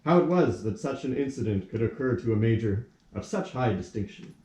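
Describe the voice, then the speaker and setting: A man narrates a puppet-theatre tale in a mellow, medium-pitched voice. His storytelling is formal and measured, like a storybook read aloud, in a room with plenty of echo. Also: expressive. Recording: echoey room.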